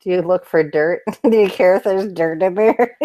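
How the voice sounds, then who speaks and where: A young woman co-hosting a casual livestream chat, chatty and quick to laugh. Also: on the low side, warm tone. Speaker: co-host.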